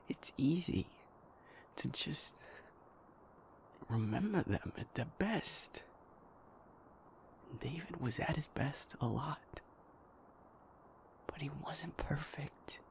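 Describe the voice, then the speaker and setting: A man playing a loyal, protective packmate in an emotional audio drama, speaking with halting, insistent earnestness. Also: a little high, expressive, creaky, in a whisper, exaggerated voice.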